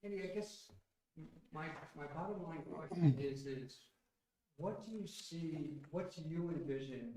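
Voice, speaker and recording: A man's voice: a little high, unhurried, mellow, reverberant, low-quality recording. A man on a town board, asking an applicant questions in a polite, hesitant way. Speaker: board member.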